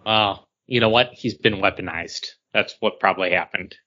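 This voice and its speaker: A man's voice: on the low side, normal speed, sharp tone. A man chatting casually about comics as a podcast co-host, in a conversational way. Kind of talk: casual chat reviewing comics. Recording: dull lo-fi sound.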